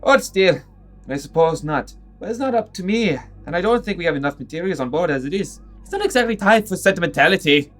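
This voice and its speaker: Lively, swooping, curious doctor. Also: male voice, high voice, fairly quick, soft background music. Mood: grudging. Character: brisk, curious male doctor and engineer at work. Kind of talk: audio drama acting.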